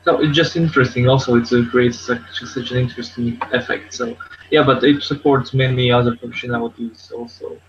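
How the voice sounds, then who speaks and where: A man's voice: mid-range voice, fairly even intonation, fairly quick. A man co-hosting a casual tech podcast over an online call, talking in loose, repetitive phrases. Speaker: podcast co-host. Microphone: online video hangout. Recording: dull lo-fi online call, faint noise.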